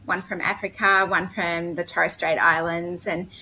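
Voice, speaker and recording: A woman's voice: lowish voice, flat delivery, unhurried, bright, forceful tone, poor audio. An Australian woman, a missionary guest, casually telling her own story in an interview. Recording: clear background noise.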